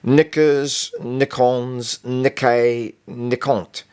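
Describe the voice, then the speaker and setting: A man voicing a big, dim schoolboy bully in an audiobook, flustered and halting as he stammers. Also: medium pitch, level tone, a little mellow, clear, resonant tone, voice pushed up. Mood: flustered, struggling.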